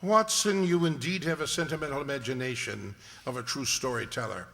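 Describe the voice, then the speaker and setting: A man's voice: mid-range voice, fairly quick, creaky, a bit bright. A man playing an erudite, drily teasing English detective in an old-time radio drama, with a precise, lecturing manner. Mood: wry. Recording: hall-like long reverb.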